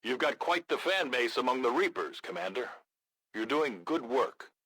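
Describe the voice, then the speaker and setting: An actor playing a gruff, blunt, battle-hardened resistance leader in a video game, with a gravelly voice and a measured, grave manner. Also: male voice, mid-range voice, animated, pushed and bright, no echo, rough recording. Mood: measured approval. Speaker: military faction commander in a video game. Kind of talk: scripted video game voice acting.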